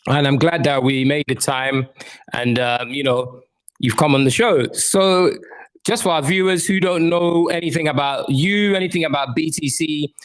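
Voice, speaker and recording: A man's voice: a little high, rough recording. A man hosting a music podcast, opening with an upbeat, hype-style intro and now and then correcting himself. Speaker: podcast host.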